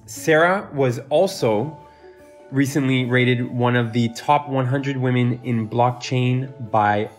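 A male podcast host with a mid-range voice delivers an upbeat, scripted introduction of his guest. Faint music plays quietly behind him. He then moves into relaxed questions. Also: medium pitch. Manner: upbeat, read-aloud introduction.

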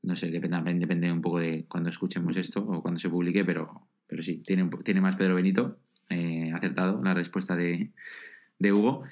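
A man's voice: sits low, little pitch movement, brisk, darkish tone. A man hosting an interview podcast, friendly and a bit hesitant, with many restarts as he sets up questions. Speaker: podcast host.

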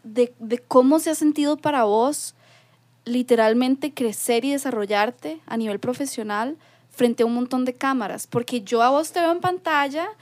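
A woman's voice: high voice, moderate pace, a little mellow. A woman, a panelist who asks questions with some hesitation. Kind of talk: casual panel chat.

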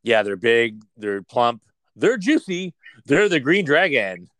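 Man, casual podcast co-host, animated pitch, jokey ad-announcer bits. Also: slow pace. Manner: playful, slips into a mock ad-announcer voice. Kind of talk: casual chat.

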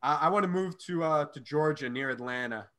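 A man's voice: on the high side, a little fast, sharp tone. A young man from New York State talking casually in a group discussion. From New York State, Hudson Valley. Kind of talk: casual chat.

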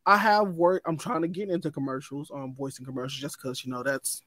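A young adult man, a voice actor, talking in an animated, excitable way with stammered restarts in a casual podcast chat. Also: a little high, fairly quick, no echo. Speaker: voice actor guest.

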